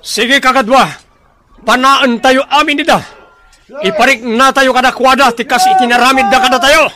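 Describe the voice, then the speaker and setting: A man's voice: very high voice, fairly even intonation, normal speed. An actor with a Filipino accent playing a bold young warrior in a radio drama, resolutely rallying his fighters.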